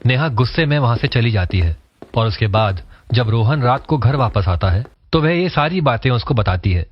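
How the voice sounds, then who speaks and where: Man, story narrator, scripted delivery, medium pitch, dull recording. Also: expressive, moderate pace. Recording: dull, lo-fi voice track.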